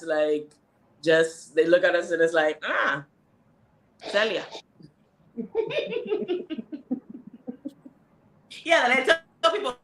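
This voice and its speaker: Rambling Miami woman in an echoey room. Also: fairly low pitch. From United States. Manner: animated, rambling, many fillers and asides. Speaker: panelist. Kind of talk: casual panel discussion. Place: indoor panel event.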